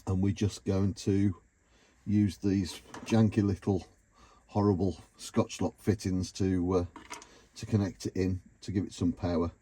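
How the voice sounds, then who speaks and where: A man's voice: deep voice, little pitch movement, a little slow, warm tone. A British man giving a casual, matter-of-fact hands-on commentary as he works in a car, with informal phrasing. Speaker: car DIY vlogger. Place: in and around a car. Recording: noticeable background noise and clicks.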